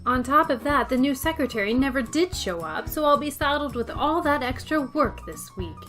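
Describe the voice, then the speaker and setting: An actress plays a wisecracking female schoolteacher in an old-time radio comedy. Her voice sits a little high, with dry, sardonic delivery and faint music underneath. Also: animated, normal speed, fairly bright tone. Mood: wry. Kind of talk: 1950s radio comedy acting.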